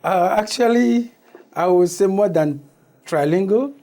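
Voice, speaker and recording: A West African man whose first language is Ewe speaks as a studio interview guest. His voice is mellow and a little high, and his answers come haltingly with repeated phrasing. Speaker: interview guest, organization founder.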